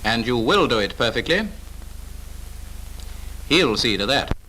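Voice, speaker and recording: A man's voice: medium pitch, big pitch swings, a little slow, noisy background. A British man narrating a 1940s instructional film in a clipped, authoritative, instructive manner, on an old film soundtrack.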